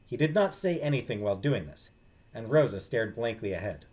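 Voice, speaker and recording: A man with a middle-pitched, very animated voice reads a visual novel aloud. His narration is dramatic, and music plays behind him. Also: a little fast.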